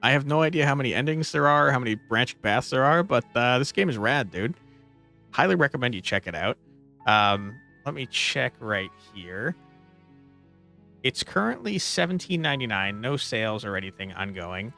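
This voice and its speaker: Animated man commentating casually on a game. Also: mid-range voice, moderate pace, gravelly, soft background music. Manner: informal, enthusiastic. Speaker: gaming video host. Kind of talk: casual game commentary, sometimes reading game text aloud.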